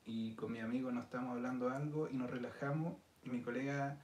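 A man in his thirties with a Chilean accent, a podcast guest explaining things in a thoughtful, measured way. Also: mid-range voice, little pitch movement. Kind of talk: casual chat. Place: indoor studio set with city backdrop. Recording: roomy sound with faint noise.

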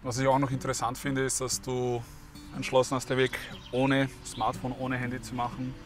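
A man's voice: medium pitch, monotone, moderate pace, over loud music. A man in his thirties hosting a casual outdoor interview while out on a hike. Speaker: vlog host and interviewer. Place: outdoors on a mountain valley hike and at a garden table.

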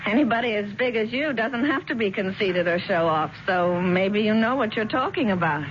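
A woman's voice: medium pitch, expressive, moderate pace, close to an everyday voice. An actress in a 1970s radio drama playing a haughty, wealthy young woman with a clipped, imperious manner. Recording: dull, noisy old radio broadcast.

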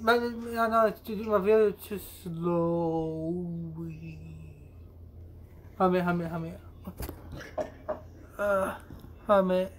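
A young man talks casually as an amateur vlogger on a video call. His delivery is halting and he often repeats words. He is recorded on a phone camera in a small room at home. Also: sits high, steady tone, slight background noise. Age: young adult. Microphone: phone camera at arm's length.

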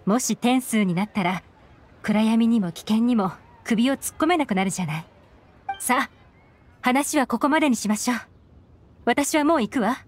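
Woman acting a cool, slightly high-voiced secret agent. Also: normal speed, pauses often, lightly acted. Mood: knowing, teasing. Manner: composed, knowing, slightly teasing. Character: cool, shrewd young woman intelligence agent, secretive and teasing. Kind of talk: anime game voice acting.

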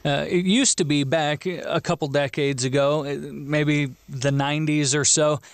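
A man in an unscripted technical discussion, explaining in a measured way as an expert. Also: a little high, flat delivery, no room sound.

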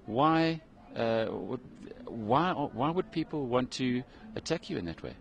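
A man's voice: mid-range voice, lively intonation, unhurried, gravelly, lo-fi sound, slight background noise. A middle-aged man presenting live outdoors in the snow, interviewing a guest in a conversational way with some ums. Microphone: handheld mic.

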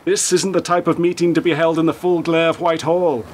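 A man's voice: fairly high pitch, level tone, brisk, a little mellow, a little hiss or hum, lightly acted. A man playing a polite, reassuring, understated government official in a radio play. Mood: calm, reassuring.

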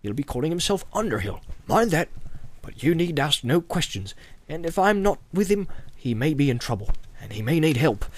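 A man's voice: on the high side, big pitch swings, moderate pace, hardly any pauses. Man playing a flustered, rambling country innkeeper. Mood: apologetic, anxious. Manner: rambling, stammering, folksy dialect speech. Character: flustered, rambling, good-hearted rustic innkeeper. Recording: music behind the voice.

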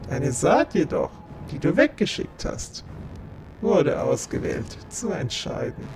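A man's voice: high-pitched, very animated, takes it slowly, noisy background, heavily acted. A man playing a menacing, imperious godlike being with a commanding, contemptuous manner in a fantasy audio drama.

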